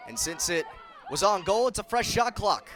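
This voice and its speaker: A man's voice: sits high, expressive, normal speed, fairly bright tone, some chatter behind, slight background noise. A man in his twenties delivering sports broadcast narration and commentary as a reporter and announcer. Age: 20s.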